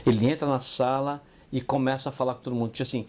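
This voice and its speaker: A Brazilian man co-hosting a casual business podcast, telling stories in an animated way and acting out the voices of the people he quotes. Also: mid-range voice, quick pace. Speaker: podcast co-host.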